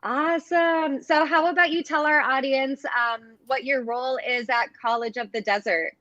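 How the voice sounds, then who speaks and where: A woman's voice: high voice, fairly even intonation, moderate pace, bright, forceful tone, dry recording. A young woman from Ohio hosting a live interview, upbeat and often saying "awesome". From Ohio, USA. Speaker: host. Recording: dull lo-fi sound.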